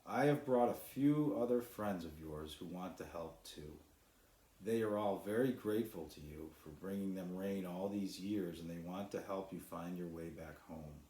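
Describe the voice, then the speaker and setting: A man's voice: low-pitched, moderate pace, warm tone, natural voice. A man voicing a determined, encouraging young boy adventurer from a children's storybook in an echoey room. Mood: reassuring.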